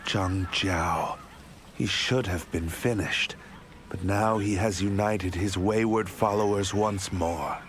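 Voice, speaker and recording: An actor playing a proud, stern warlord in a video game, grave and formal in a declamatory martial style. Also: male voice, low-pitched, steady tone, slow pace, a bit bright, a little hiss or hum. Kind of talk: video game cutscene acting.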